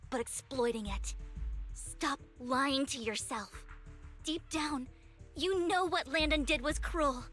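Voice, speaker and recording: Casting: resolute young game heroine, high voice, earnest and firm, pleading edge, light music bed. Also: female voice, a bit bright. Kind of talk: dramatic anime video game voice acting. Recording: music behind the voice.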